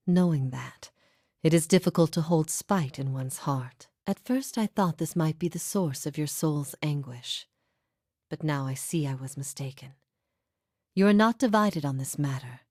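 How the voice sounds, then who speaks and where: Fantasy game spirit: martyred female heretic, low register, formal and grave, steadfast yet forgiving, breathy phrase endings, dry close sound. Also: darkish tone, dry recording. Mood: gentle, solemn, then regretful, hushed. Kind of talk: scripted fantasy game dialogue.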